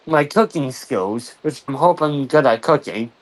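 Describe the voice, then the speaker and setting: A young man chatting casually with a friend on a livestream, talking in a halting, hesitant way with many ums. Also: mid-range voice, expressive, poor audio. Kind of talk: casual chat while gaming.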